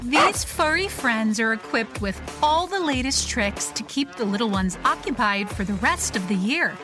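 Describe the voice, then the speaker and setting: A woman's voice: fairly high pitch, animated, normal speed, over music, close to an everyday voice. A woman playing an upbeat, polished advertising announcer in a parody commercial for an audio play. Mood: peppy, selling. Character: upbeat, slick female advertising announcer selling disaster-era products.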